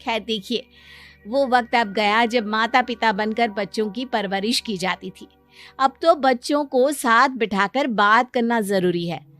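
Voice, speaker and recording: A woman's voice: fairly high pitch, a little slow, a bit bright. A woman playing a courteous, emphatic working mother, acted as dialogue in a cartoon story. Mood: polite, earnest. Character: polite, admiring working mother, a family acquaintance. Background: music.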